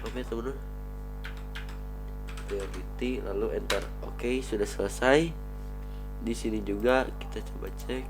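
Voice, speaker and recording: A man's voice: on the low side, a little slow, pauses often, over music, poor audio. A man with a cold explaining network switch configuration in a screen-recorded tutorial, talking viewers through each command as he types. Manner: addresses viewers as friends, talks while typing commands. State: has a cold. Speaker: networking instructor.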